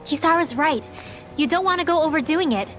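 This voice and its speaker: A gentle young female companion from a game cutscene. Her voice is fairly high, soft and caring. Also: a little fast, soft background music. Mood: concerned. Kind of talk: dubbed anime-style game voice acting.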